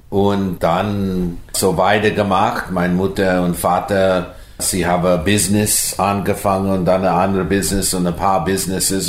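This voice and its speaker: A man from the USA, speaking haltingly and searching for words as an interview guest in his living room. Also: low-pitched. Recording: roomy living room sound.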